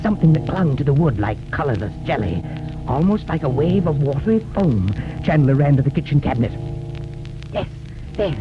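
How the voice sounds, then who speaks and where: A man narrating a horror tale in theatrical, suspenseful 1930s radio style with dramatic pauses, on a muffled vintage recording. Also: mid-range voice. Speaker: horror show narrator and host. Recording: muffled 1930s radio, loud music behind.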